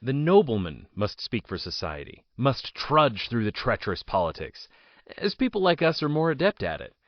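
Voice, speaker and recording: A man playing a proud, bitter ousted politician in an audio drama, telling his story in a deliberate, theatrical monologue with dramatic pauses. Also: medium pitch, very animated, no room sound, muffled recording. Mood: haughty, sardonic.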